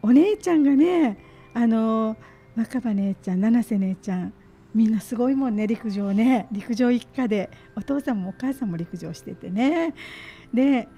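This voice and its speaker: A woman's voice: a little high, animated, moderate pace, mellow, slightly rough. A woman hosting a casual radio interview with children in a small studio, echoing and affirming what they say in an encouraging way. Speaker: radio host. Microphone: studio mic on boom arm.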